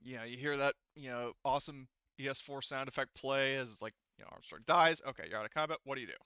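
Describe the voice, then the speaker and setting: A man's voice: mid-range voice, fast, pushed and bright, clean tone, no echo, highs cut off. A man speaking as game master, running a tabletop role-playing game and explaining rules and outcomes to players.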